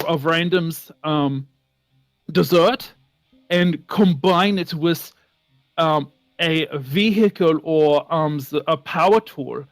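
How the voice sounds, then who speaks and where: High pitched foreign guy, broken english, keeps repeating words, bossy comic chat, super dry close sound. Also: dry recording. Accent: foreign, non-native English. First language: not English. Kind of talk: bantering improvised comic chat.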